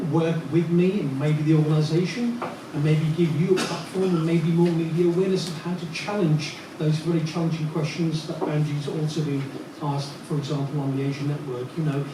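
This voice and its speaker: A man's voice: a little high, fairly even intonation, brisk, few pauses. A man, a journalist and broadcaster, putting questions to a panel from the audience in an assertive, list-like way. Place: large echoey hall. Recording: echoey hall, far from mic, noise.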